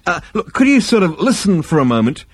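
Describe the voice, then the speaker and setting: Guy doing a nervous young space guy, high n swoopy, stammery, rushing his excuses. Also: big pitch swings, husky, exaggerated voice. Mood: feverish pleading. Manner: stammering, hesitant, hurried, pleading. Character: flustered, evasive young alien great-grandson.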